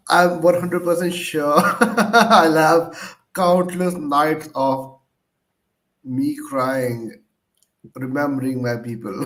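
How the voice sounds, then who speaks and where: Excitable young man, slow talker. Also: a little high, low-quality recording. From India. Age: 20s. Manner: excitable, laughs often, repeats himself. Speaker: panel guest. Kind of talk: casual online panel chat.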